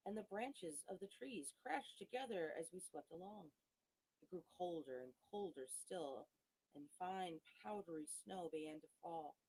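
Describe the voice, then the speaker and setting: Low, husky woman narrating a gothic tale. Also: dark tone, low-quality recording. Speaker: audiobook reader. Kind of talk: dramatic scripted narration of a gothic novel.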